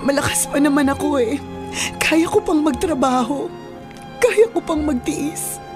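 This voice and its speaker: Radio drama: devoted weeping Filipino mother, high voice, tender and halting, over clear music. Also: expressive. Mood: tearful insistence. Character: self-sacrificing, weeping mother devoted to her daughter. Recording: music bed under the voice.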